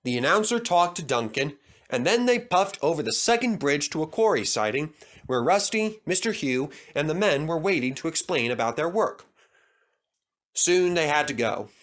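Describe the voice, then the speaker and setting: A man narrating a children's storybook with slow, expressive storytelling. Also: on the high side. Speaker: storybook reader.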